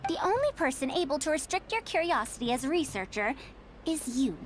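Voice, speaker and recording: A woman's voice: high-pitched, big pitch swings, brisk, slight background noise. A woman playing a proud, theatrical scholar and inventor in an anime-style game, lecturing children with patient self-importance.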